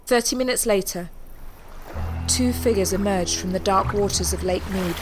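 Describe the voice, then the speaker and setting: A woman's voice: mid-range voice, moderate pace, music in the background. A woman delivering scripted narration for a sci-fi audio drama as its storyteller.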